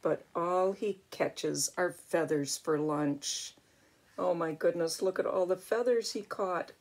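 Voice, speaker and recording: Older woman, unhurried storybook reader, slightly husky, expressive, with chatty asides for a small child. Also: on the low side. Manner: expressive, exclaiming, talking to a young child. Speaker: grandmother reading a picture book.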